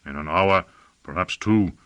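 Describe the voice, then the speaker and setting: A man's voice: sits low, a bit bright, clear, resonant tone, no echo, voice pushed down. A man voicing a grave, weary senior sorcerer with heavy sarcasm and resignation in an audiobook fantasy. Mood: grim, resigned. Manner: heavy sarcasm, slow sighing gravity.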